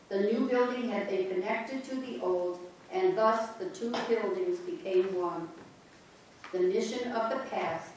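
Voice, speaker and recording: A woman narrates a church's history in a steady, storytelling manner. Her voice is mid-pitched. It carries from a distance across a reverberant sanctuary with faint noise. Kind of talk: reader's theater narration. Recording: echoey church from far off.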